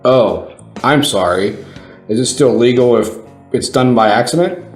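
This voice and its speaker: A man's voice: mid-range voice, measured pace, lightly acted. Guy doing a full-of-himself, dim tv reporter for a comedy podcast, roomy sound, faint music. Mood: smug, obtuse. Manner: pompous, self-assured. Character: cocky, dim male TV news field reporter, self-important. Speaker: news reporter. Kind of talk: satirical audio comedy acting.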